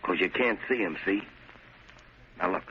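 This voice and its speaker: A man's voice: deep voice, moderate pace, husky. An American man playing a scheming, vengeful condemned killer in tough street slang, in the style of old radio drama. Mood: coaxing, conspiratorial. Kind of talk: 1930s-40s radio acting. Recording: muffled, noisy old radio recording.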